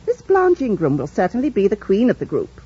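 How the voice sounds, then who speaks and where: An actress playing a kindly, gossipy older housekeeper in an old radio drama. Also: female voice, mid-range voice, wildly swooping pitch, brisk, dark tone. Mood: admiring, gossipy. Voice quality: husky. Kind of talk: 1940s radio drama acting. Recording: dull old radio recording.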